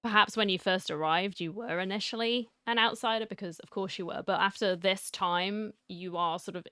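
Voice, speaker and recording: A woman's voice: mid-range voice, normal speed, pushed and bright, clean tone, no room sound, clean studio sound. Woman casually commenting over a game. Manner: informal, musing aloud. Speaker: gaming video host. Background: game music.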